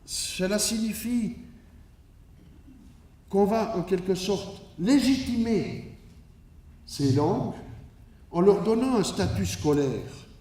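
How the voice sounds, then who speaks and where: A man's voice: high-pitched, lively intonation, takes it slowly, long pauses, warm tone. A man from Switzerland giving an academic conference lecture in a didactic, emphatic manner with rhetorical asides. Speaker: academic keynote speaker. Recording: echoey room, distant microphone.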